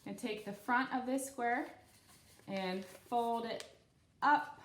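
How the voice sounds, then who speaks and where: A woman's voice: fairly high pitch, slow pace, a little hiss or hum. Echoey-room woman hosting kids' storytime. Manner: simple, encouraging explanations addressed to children. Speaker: children's storytime host and craft instructor. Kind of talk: read-aloud story and step-by-step craft instruction for kids. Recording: roomy, echoey room sound.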